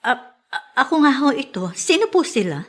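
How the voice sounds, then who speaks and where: A woman's voice: high-pitched, very animated, moderate pace, fairly bright tone, exaggerated voice. A woman playing a worried mother in a radio drama, speaking in an anxious, hesitant manner. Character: Mariana, worried mother fighting for her child. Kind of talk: Filipino radio drama acting.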